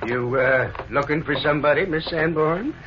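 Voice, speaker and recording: An actor playing a nosy, folksy cafe owner in a 1950s radio western, chatting haltingly. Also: male voice, a little high, lightly acted. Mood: sheepish, chatty. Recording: muffled, hissy 1950s radio recording.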